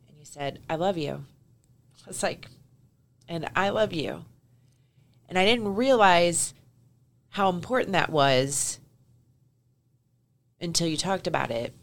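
A woman hosting a podcast, offering brief affirmations and quiet, sincere remarks to her guest. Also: sits low. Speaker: podcast host. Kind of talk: casual conversation.

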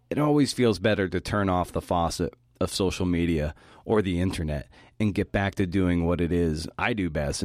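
A man's voice: low voice, darkish tone, no echo, clean studio sound. A man hosting a podcast, delivering a scripted talk that links interview clips. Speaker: podcast host giving a talk.

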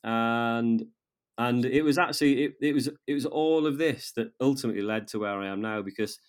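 A man in his fifties from North Wales with a North Welsh accent, a guest in a casual interview who talks haltingly, repeating words and restarting his sentences. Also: mid-range voice, clear, resonant tone.

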